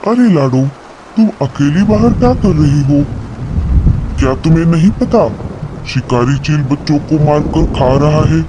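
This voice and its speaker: Cartoon crow, male performer: caring, cautious older-brother type, slow delivery, noisy track. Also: on the high side, lightly acted. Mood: concerned. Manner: protective, cautioning. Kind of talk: Hindi children's cartoon dialogue.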